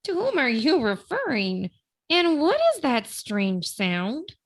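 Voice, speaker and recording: A woman's voice: high voice, big pitch swings, slow, full character voice. A woman playing a haughty, jittery female burglar with grand, affected diction in a comic radio play. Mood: nervous, fussy. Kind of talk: comic old-time radio play acting.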